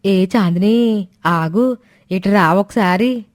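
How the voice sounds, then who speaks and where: A woman's voice: medium pitch, slow, dry recording, studio quality, lightly acted. A woman voicing a scheming, sweet-talking middle-aged village woman in a moral story. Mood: coaxing. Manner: coaxing, then sharp and mocking. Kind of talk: Telugu storybook voice acting.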